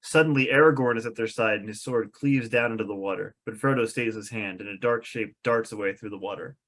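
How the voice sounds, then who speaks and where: A man's voice: on the low side, brisk, a bit bright. A man narrating stage directions from a fantasy script at a table read.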